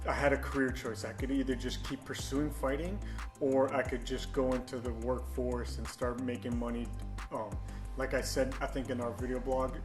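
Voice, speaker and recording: A man from Iran, guest in a casual interview, telling his story in a relaxed, easygoing way. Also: mid-range voice, roomy sound. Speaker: guest, martial arts gym owner.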